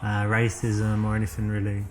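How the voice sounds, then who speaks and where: An English man with a softened London accent plays a smooth-talking guy chatting up a woman in a game video. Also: fairly low pitch, monotone, normal speed, natural voice. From England. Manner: chatty, flirty, a little boastful. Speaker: game actor playing the player on a date. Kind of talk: scripted full-motion video dialogue.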